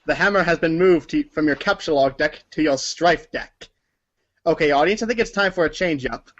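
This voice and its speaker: A man reads scripted narration for a comedy read-along. His voice is a bit high for a man, and the sound is mildly lo-fi. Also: moderate pace, fairly bright tone. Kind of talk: scripted second-person narration.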